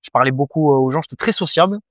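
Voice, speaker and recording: A man's voice: on the high side, rapid-fire, no room sound, clean studio sound. A man in his twenties from France, a fighter answering interview questions casually, hesitant with many fillers and restarts. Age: 20s. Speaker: Muay Thai fighter, guest.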